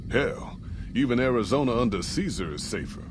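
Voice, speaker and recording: An American actor playing a gruff, folksy caravan boss in a post-apocalyptic video game, with plain-spoken frontier drawl. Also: male voice, mid-range voice, animated, moderate pace, noisy background, lightly acted. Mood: grim, warning. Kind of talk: scripted video game character dialogue.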